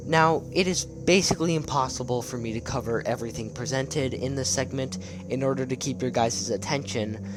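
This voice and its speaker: A man's voice: mid-range voice, noisy background. A man giving casual scripted video commentary in a conversational, enthusiastic way. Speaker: video essay narrator. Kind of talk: casual scripted commentary on horror web series.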